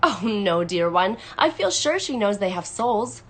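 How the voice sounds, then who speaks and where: A woman voicing a gentle, placid and affectionate mother in a storybook, speaking tenderly and softly. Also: mid-range voice, big pitch swings, measured pace. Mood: gently reassuring.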